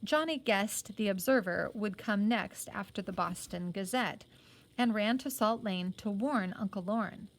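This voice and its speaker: A woman with a mid-range voice reads a story aloud in the manner of a teacher. Her delivery is expressive, and she puts things in simple, plain words for young listeners. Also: mid-range voice, unhurried. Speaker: teacher reading a novel aloud. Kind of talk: read-aloud of a children's novel with plain explanations.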